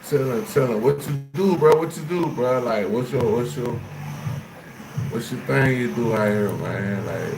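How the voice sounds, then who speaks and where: A man's voice: mid-range voice, a little slow, gravelly. A man from Florida hosting a casual livestream, chatting loosely with viewers in slang and repeating his questions. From Jacksonville, Florida. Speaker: livestream host. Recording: echoey room with loud background noise.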